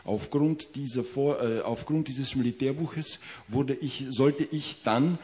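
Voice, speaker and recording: A man interpreting a witness's testimony into German in court, pausing to search for words. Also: mid-range voice, mellow. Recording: old 1960s courtroom tape.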